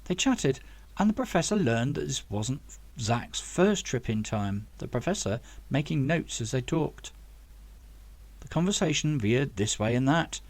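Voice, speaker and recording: A man's voice: medium pitch, animated, unhurried, a little mellow, no echo. Man narrating a story, dry close sound. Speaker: author reading own book. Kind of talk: scripted audiobook narration.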